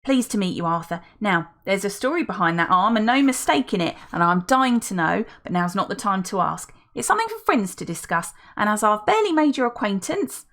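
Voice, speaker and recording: A woman reading aloud voices an effusive, chatty woman who talks without pause and exclaims often. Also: very animated, fairly bright tone. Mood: gushing. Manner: effusive, exclaiming, high-spirited. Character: gushing chatty adult woman, talks nonstop.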